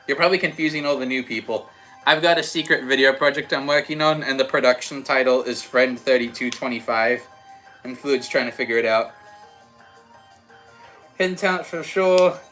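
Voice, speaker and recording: A young man with an Australian accent softened by American, chatting casually and rambling a little as he hosts a livestream and answers comments. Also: medium pitch, normal speed, low-quality recording. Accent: Australian with some American. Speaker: livestream host. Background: background music.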